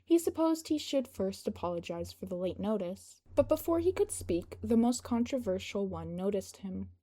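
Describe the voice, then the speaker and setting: Female narrator for fan fiction, mellow tone, reading from a script. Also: mid-range voice, sing-song, moderate pace.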